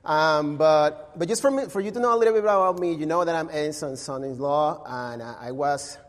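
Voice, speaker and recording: A man's voice: a little high, fairly quick. A young man with a Venezuelan accent preaches a sermon in a church, speaking English as a second language. His manner is friendly and casual, with frequent "um"s and "right?"s. The sanctuary adds a long, lingering reverb. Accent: Venezuelan Spanish. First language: Spanish. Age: young adult. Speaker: guest preacher.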